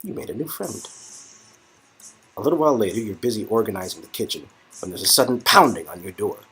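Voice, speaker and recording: A man's voice: lowish voice, big pitch swings, quick pace, fairly bright tone, slightly rough, low-quality recording. A man narrating a story game as co-host, mixing scripted narration with casual banter. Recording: music in background.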